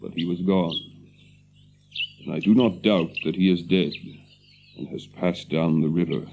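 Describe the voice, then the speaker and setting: An actor playing a grave, noble captain and grieving brother in a fantasy radio drama, with stately, measured, archaic speech. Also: male voice, low-pitched, fairly even intonation, gravelly, background noise. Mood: sorrowful, solemn. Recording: dull lo-fi audio with birdsong behind.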